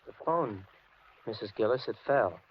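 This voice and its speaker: A man's voice: lowish voice, expressive, natural voice. Actor as brooding, menacing young man, old radio. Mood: brooding, sullen. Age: young adult. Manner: brooding, accusing, deliberate, taunting. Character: menacing, resentful, unstable young handyman. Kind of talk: 1950s radio suspense acting. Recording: muffled, noisy 1950s radio recording.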